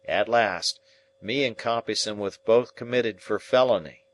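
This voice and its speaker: A man voicing a rough old convict who recounts his hard past in broken, ungrammatical speech. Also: lowish voice, measured pace, natural voice. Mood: grim, confiding.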